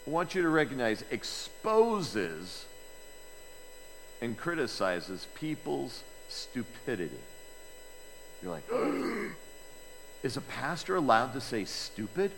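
Male pastor, unhurried but animated delivery, sermon in a big echoing sanctuary with faint room noise. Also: medium pitch, vocal fry. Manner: lively, conversational preaching with asides to the congregation. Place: church sanctuary. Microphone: microphone with feedback issues. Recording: long hall reverb, faint ambience.

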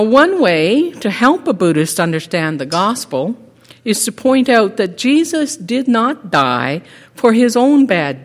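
A middle-aged woman giving a measured, earnest sermon in a church sanctuary, with a teacherly way of explaining. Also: medium pitch. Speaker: lay preacher. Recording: echoey sanctuary with long reverb.

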